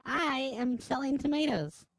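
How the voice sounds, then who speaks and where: A woman trades brash, profane insults on a prank call. Her voice sits a little high and sounds dry and close. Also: measured pace, creaky, no echo. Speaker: prank caller.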